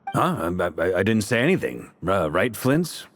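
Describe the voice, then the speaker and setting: A man's voice: low voice, sing-song, normal speed, a little hiss or hum. A man playing an affable, slightly awkward knightly leader in a video game, easygoing and expansive. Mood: flustered. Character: affable, casual male knightly leader hosting a gathering.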